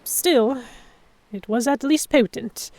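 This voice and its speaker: A woman narrating in first person as a composed captive in a historical pirate audio drama, with measured, dramatic storytelling. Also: on the high side, darkish tone. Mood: measured, tense. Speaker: narrator and lead actor.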